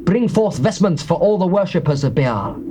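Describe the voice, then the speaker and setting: A man's voice: high-pitched, expressive, moderate pace. An actor playing a ruthless, zealous biblical king in a dramatized scripture reading, declamatory and commanding. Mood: proclaiming. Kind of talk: dramatized scripture reading, theatrical stage delivery. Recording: noisy old cassette tape.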